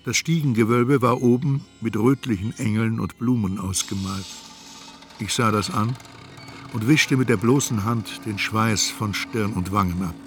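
Man acting introspective first-person narrator, lowish voice, literary radio narration, music underneath. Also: unhurried, close to an everyday voice.

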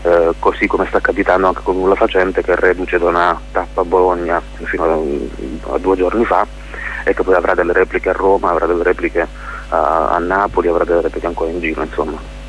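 Deep-voiced man, thoughtful and halting, muffled recording. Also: little pitch movement. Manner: thoughtful, halting, searching for words. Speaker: interview guest, playwright. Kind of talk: reflective radio interview talk. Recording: muffled radio interview with hum and hiss.

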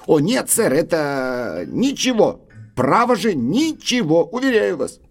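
An actor playing an anxious, evasive butler, deferential and faltering, in an audio drama. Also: male voice, on the high side, wildly swooping pitch, slow, full character voice. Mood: pleading. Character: nervous, evasive, deferential butler guarding a secret.

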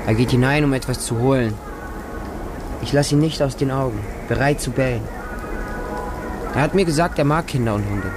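An actor playing a frightened, devoted street child who lives with dogs, telling the story in short, simple sentences for a radio drama. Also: male voice, medium pitch, normal speed, long pauses, lightly acted. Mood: wary, tense. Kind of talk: first-person radio drama monologue. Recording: music under the voice. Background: music.

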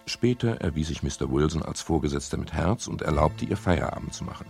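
Very deep, gravelly private detective. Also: male voice, lively intonation, a little fast, a little mellow, soft background music, heavily acted. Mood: wry narration. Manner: dry, laconic, hesitant politeness. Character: wry, weary male private detective narrating his case. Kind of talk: 1970s German radio crime play, first-person narration and dialogue.

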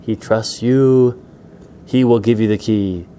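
A man's voice: medium pitch, animated, takes it slowly, a little hiss or hum. A man voicing a menacing rat from a gang in a children's story, insistent and commanding, repeating orders. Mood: threatening. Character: bossy, menacing gang of big rats.

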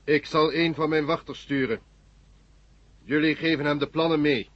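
An actor in a 1970 radio drama playing a cold, commanding alien machine brain, curt and imperious. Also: male voice, on the high side, fairly even intonation, normal speed, a bit bright, no room sound. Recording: muffled old radio recording.

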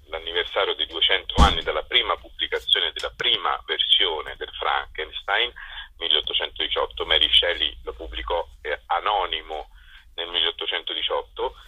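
A man answering in a conversational interview, explaining in a halting, self-correcting way. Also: rumbling low, pushed and bright, husky, low-quality recording. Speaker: interview guest, translator.

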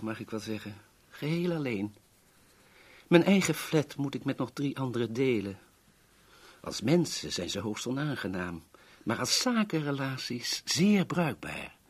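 An oily, self-important go-between in an old radio play. His intonation swoops widely, and his slightly husky voice lays on elaborate courtesy, wheedling in a theatrical, florid way. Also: mid-range voice, darkish tone. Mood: smooth flattery. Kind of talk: 1970s Dutch radio drama acting.

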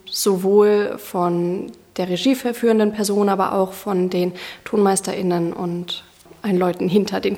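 A woman's voice: medium pitch, a little mellow. A woman of twenty-six from northern Germany, an acting student, giving a personal account in an interview. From Hanover, Germany.

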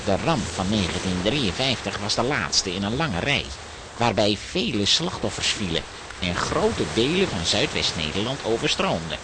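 An actor playing a courteous, proud and easily piqued engineer in a children's audio drama, formal and explanatory. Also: male voice, fairly low pitch, sing-song, normal speed. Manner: formal, explanatory, self-important. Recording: noisy audio drama recording, hissing ambience.

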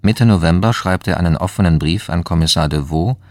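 A man reading scripted documentary-style narration for a radio drama in a factual, measured manner. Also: deep voice, darkish tone.

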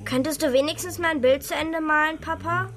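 A woman's voice: sits high, fairly even intonation, normal speed, fairly bright tone. A woman playing a hurt, cheeky nine-year-old girl in a children's radio drama, with a direct, reproachful, argumentative manner. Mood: cheeky, curious.

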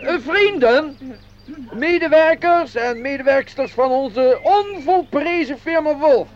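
A man's voice: very high-pitched, slow pace, slight background noise. An actor playing a fussy, obsequious male company administrator who speaks formally and pompously in a radio play. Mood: pompous, ceremonious. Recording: dull lo-fi radio play recording.

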